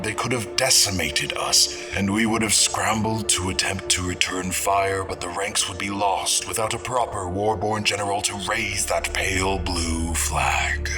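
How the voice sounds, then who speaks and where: Man acting a deep, slow, solemn storyteller. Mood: grave, reflective. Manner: grave, deliberate, often hushed. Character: solemn male steward recounting a fallen world's war. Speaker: narrator of an audio drama. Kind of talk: dramatic first-person scripted narration. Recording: music under the voice. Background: dramatic music.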